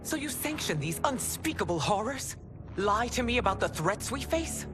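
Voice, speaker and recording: An actress playing a proud, stern empress in a video game cutscene, speaking in a regal, formal and accusing manner. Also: female voice, on the high side, big pitch swings, normal speed, music in the background. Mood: outraged. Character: proud, imperious warrior empress, stern and indignant.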